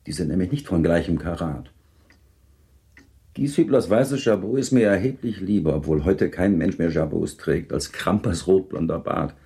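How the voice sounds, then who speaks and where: Aristocratic gentleman, period radio drama: low, dark voice, formal, dryly ironic, exacting. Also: expressive, moderate pace. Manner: formal, dry, precise. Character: stiff, ironic aristocratic gentleman of the nineteenth century. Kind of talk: German literary radio drama acting.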